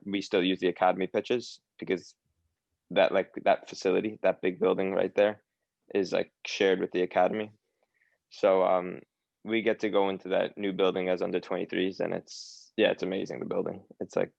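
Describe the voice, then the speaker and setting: Low gravelly young man with Long Island accent. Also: darkish tone, no room sound, highs cut off. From New York, USA. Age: young adult. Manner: hesitant, many fillers and restarts. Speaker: guest, academy footballer. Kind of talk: casual interview chat.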